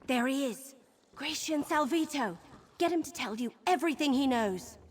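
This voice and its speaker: An actress playing a brisk, determined adventurer companion in a video game, purposeful and friendly as she gives directions. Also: high voice, expressive. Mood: urgent, commanding.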